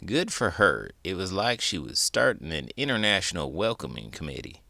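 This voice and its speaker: A man's voice: sits low, big pitch swings, normal speed, creaky, over loud music. A man reading a children's book aloud as a host, with dramatic, emphatic delivery. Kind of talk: expressive storybook read-aloud.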